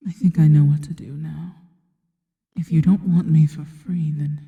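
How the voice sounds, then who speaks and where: A woman voicing a seductive, possessive yet devoted female spider monster in an ASMR roleplay, mostly in a slow, breathy, teasing whisper. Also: low-pitched, phone-quality sound. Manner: slow, teasing, intimate delivery. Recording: long reverberant tail on the voice.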